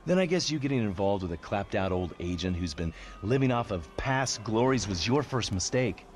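An actor playing an arrogant, bullying American federal agent in a video game, smug and aggressive. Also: male voice, lowish voice, expressive, a little fast, slight background noise, close to an everyday voice. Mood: domineering. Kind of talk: video game cutscene acting.

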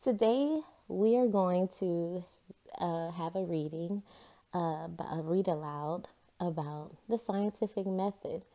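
A woman hosting a children's read-aloud, telling things in an expressive, deliberate storyteller's way. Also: on the low side.

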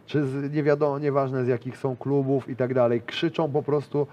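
A man's voice: medium pitch, steady tone, normal speed, dark tone, highs cut off. A man in his thirties, a grappler and interview guest, talking casually in a small studio, rambling with lots of fillers and restarts. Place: small studio with a decorated wall.

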